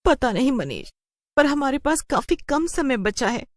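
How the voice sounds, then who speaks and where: A woman's voice: high voice, very animated, measured pace, dry recording, voice pushed up. A woman voicing an anxious, complaining young working wife in a cartoon story. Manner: exclaiming, fretful. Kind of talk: animated cartoon story voice acting.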